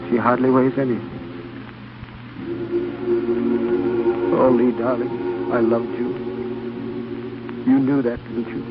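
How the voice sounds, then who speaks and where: An actor playing an earnest, emotionally intense doctor and devoted husband, hesitant and stammering, in an old-time radio drama. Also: male voice, mid-range voice, close to an everyday voice. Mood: grieving. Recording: dull vintage radio recording, faint music.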